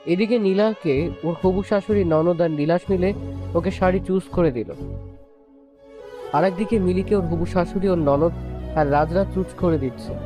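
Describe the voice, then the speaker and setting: A man's voice: high voice, warm tone. A man narrating a scripted romantic story as its storyteller.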